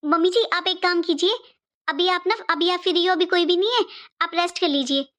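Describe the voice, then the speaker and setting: A woman's voice: extremely high pitch, fairly quick, fairly bright tone. A woman voicing a devoted, respectful young sister-in-law in a cartoon family drama, speaking politely and emphatically with repeated phrases. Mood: attentive.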